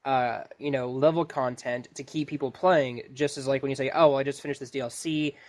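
A man's voice: medium pitch, steady tone, brisk, darkish tone. A man co-hosting a podcast in a casual chat, speaking in a hesitant, meandering way. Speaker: podcast co-host.

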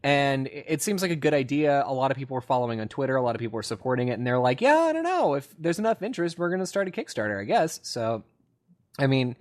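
Conversational man with a mid-pitched voice. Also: sing-song, fast. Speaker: podcast co-host. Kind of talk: casual video game podcast discussion.

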